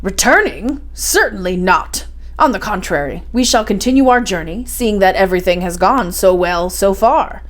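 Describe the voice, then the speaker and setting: A learned older gentleman professor as a woman storyteller reads him from an adventure storybook. He is assured and didactic, explaining everything with firm confidence. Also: medium pitch, expressive, moderate pace, lightly acted. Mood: resolute.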